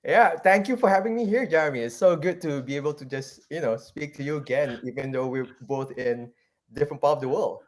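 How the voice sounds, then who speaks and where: A man's voice: fairly high pitch, fairly quick. A man in his thirties from Malaysia tells his career story in a loose, rambling way. He restarts sentences and leans on fillers as he thinks aloud. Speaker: guest, venture capital partner. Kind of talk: casual interview, life story.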